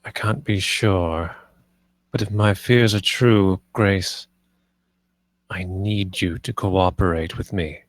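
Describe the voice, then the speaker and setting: A grave lord of the house played by a man in a dramatic table read. His voice is very low and slow, commanding and deliberate, with a dry sound. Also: steady tone, no echo. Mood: stern authority. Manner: deliberate, authoritative.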